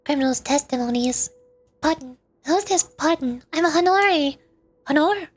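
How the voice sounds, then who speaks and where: A woman with a very high voice streams a video game. She comments as she plays and reads the text aloud. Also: animated, normal speed, faint music behind. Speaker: VTuber streamer.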